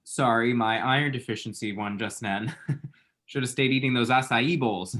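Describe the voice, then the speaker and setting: A man's voice: mid-range voice, normal speed, a bit bright, lightly acted. A man playing a flustered, lovestruck and bitchy young man, sassy and theatrical, in a screenplay table read. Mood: flustered, nervous.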